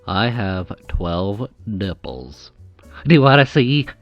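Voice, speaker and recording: A man's voice: lowish voice, sing-song, slow pace, lo-fi sound, faint music behind. A man playing a nervous, adoring, creepy superfan with a hesitant, awkward, eager manner in a comedic audio drama. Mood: eager, infatuated.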